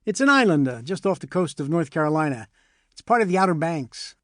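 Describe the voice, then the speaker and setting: A man's voice: on the high side, very animated, fairly quick, fairly bright tone, no echo, studio quality. A man playing an easygoing, reassuring middle-aged dad in audiobook memoir dialogue, casual and offhand.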